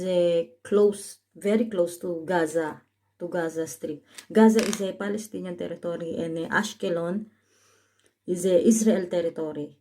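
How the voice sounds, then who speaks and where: A woman from the Philippines, a Tagalog speaker with a Filipino accent, giving a casual vlog update as a caregiver. She explains slowly in simple English, pausing often with fillers and restarts. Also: on the low side, dark tone. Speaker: caregiver and vlogger. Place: home in northern Israel.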